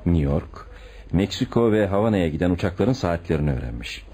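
An actor playing a deferential but shrewd police commissioner in a radio play, respectful and probing in manner. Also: male voice, sits low, very animated, a little fast, dark tone, breathy edge, heavily acted. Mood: reporting. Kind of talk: Turkish radio theatre acting.

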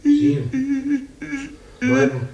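A man's voice: very high voice, big pitch swings, very slow, creaky, a little mellow, muffled recording, full character voice. A man acting a flustered, anxious radio employee in a radio drama, stammering in disbelief. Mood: incredulous. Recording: echoey room, distant from microphone.

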